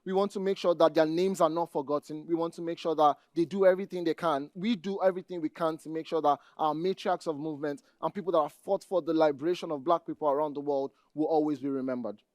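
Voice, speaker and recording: A man with a Nigerian accent giving a conference presentation, speaking deliberately and addressing the audience directly. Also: on the high side, steady tone, a little mellow, dry recording, studio quality. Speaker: conference speaker, database administrator.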